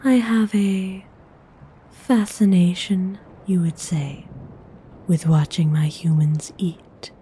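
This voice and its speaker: A woman voicing a genteel, courteous vampire noble in an ASMR roleplay, speaking in a breathy, husky whisper with refined, formal, coaxing phrasing. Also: fairly low pitch, fairly even intonation, slow pace, dark tone, slight background noise. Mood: tender, coaxing. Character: genteel, courteous centuries-old female vampire noble, doting and possessive.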